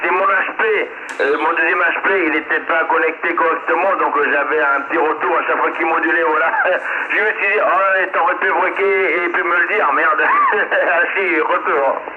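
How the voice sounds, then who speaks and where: A thin, band-limited CB radio transmission carries a man from France with a fairly high voice. He chats casually as one hobbyist to another. The signal is clearly noisy and comes out echoey through a speaker. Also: fairly even intonation, moderate pace, few pauses, phone-quality sound. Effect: heard over CB radio. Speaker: CB radio operator. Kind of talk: casual amateur radio chat. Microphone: radio transmission played through a speaker.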